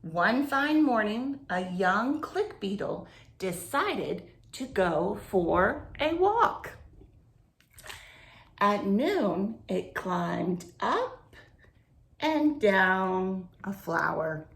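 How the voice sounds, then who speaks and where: A woman reading a picture book aloud to young children in an expressive, drawn-out way, with chatty asides and questions to the listeners. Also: mid-range voice, vocal fry. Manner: animated, drawn-out phrasing, asks the listeners questions.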